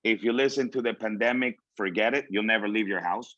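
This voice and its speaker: A man's voice: fairly low pitch, brisk, a bit bright, no room sound. A man in his late thirties from Venezuela, a native Spanish speaker, giving an informal motivational talk in English with emphatic repetition. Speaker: guest speaker. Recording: dull, lo-fi audio.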